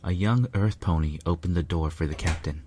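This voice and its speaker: A man's voice: sits low, brisk, a little mellow, a little hiss or hum. A man reading a piece of fiction aloud as a scripted narration. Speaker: fan fiction reader, narrator.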